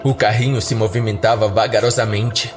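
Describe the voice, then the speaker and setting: Narrador brasileiro de terror, com música ao fundo. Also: male voice, on the low side, steady tone, fairly quick, over music. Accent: Brazilian. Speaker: horror podcast narrator. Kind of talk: scripted first-person horror narration.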